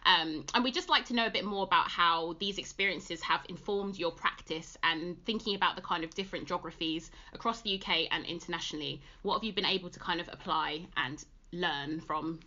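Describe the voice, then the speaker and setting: A woman's voice: fairly low pitch, fairly quick, hardly any pauses, pushed and bright, highs cut off. A woman hosting an interview, asking questions in a playful, teasing way. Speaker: podcast host.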